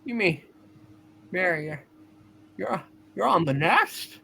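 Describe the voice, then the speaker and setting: Man as small-town young husband, frustrated, repeats himself, swinging pitch, forceful tone, radio play. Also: animated, creaky, a little hiss or hum, full character voice. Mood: stunned. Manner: halting, emotional, repeating himself. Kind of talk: hammed-up radio play reading.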